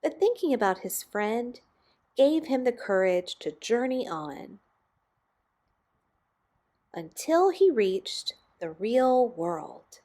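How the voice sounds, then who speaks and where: A woman reading a children's picture book aloud expressively, with dramatic pauses and emphasis on key words. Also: on the high side, very animated, mellow. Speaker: storybook reader.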